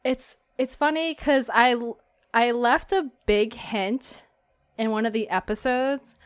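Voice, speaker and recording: A woman with a fairly high voice hosts a relaxed, chatty conversation. She is giggly and often laughs as she talks. The sound is dry, with very little room to it. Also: slow, clean tone, no echo. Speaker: fiction podcast writer and host. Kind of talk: casual chat interview.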